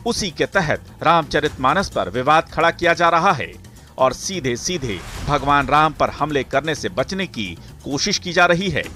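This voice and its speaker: A man's voice: on the high side, expressive, measured pace, bright, forceful tone. A man reading a scripted news report as a voice-over, in a dramatic, insinuating manner. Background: background music.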